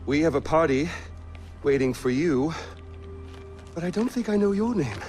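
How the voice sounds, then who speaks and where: A man's voice: on the high side, lively intonation, moderate pace, a little mellow, faint music behind, natural voice. A man playing a flamboyant, middle-aged tyrant in a video game cutscene, theatrically polite, mocking and volatile. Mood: suave, mock apologetic. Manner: theatrical, affected politeness breaking into swearing outbursts.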